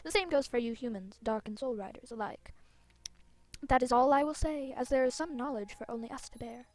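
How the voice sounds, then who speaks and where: A woman's voice: high-pitched, fairly quick, natural voice. Haughty ancient tree spirit, voiced by a woman. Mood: solemn, imperious. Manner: haughty, grand, formal. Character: proud, impatient ancient female tree spirit.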